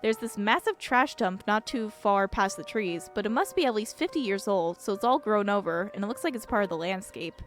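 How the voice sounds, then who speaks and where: A woman voicing an earnest, devout young father from a visual novel, speaking in a measured, confiding way. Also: mid-range voice, lively intonation, a bit bright, soft background music, close to an everyday voice. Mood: apologetic, cautious. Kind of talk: acted reading of visual novel dialogue.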